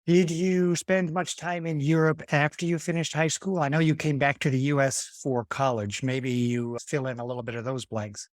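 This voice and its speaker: A man in his fifties hosting a podcast, asking questions in a friendly, measured way. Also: on the high side, steady tone, no echo, studio quality. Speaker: podcast host. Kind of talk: hosted interview.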